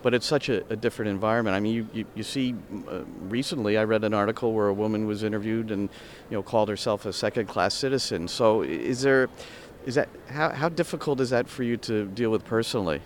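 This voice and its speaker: Halting male sports interviewer. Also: fairly low pitch, slight background noise. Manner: halting, searching questions with restarts. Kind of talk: interview questions.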